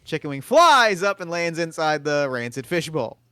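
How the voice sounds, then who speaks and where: Man quietly reading stage directions. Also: fairly high pitch, sing-song, normal speed, smooth, dry recording, studio quality.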